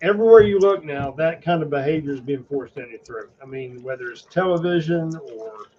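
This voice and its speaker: A man's voice: a little high, brisk, a little mellow. A man hosting a podcast, chatting casually in an opinionated, gloomily musing way. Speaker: podcast host. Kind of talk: casual chat. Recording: dull audio with faint noise.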